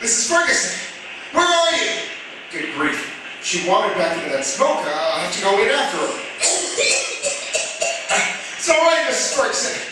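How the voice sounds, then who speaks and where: An actor playing a brave, earnest firefighter, muttering with effort and calling out in a radio drama. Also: male voice, high voice, very animated, moderate pace, pauses often. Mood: reassuring, urgent. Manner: effortful muttering, calls out to others. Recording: echoey, distant, clearly noisy.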